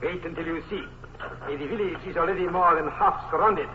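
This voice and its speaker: A coldly logical Prussian officer, played by a man, speaks in precise, formal sentences, sure the siege is lost. His voice sits a little high. The old radio recording is muffled and full of hiss. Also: close to an everyday voice. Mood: grim. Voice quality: precise, formal, deliberate phrasing. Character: stern Prussian colonel, coldly logical, fatalistic. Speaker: Prussian colonel. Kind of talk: 1950s radio drama acting.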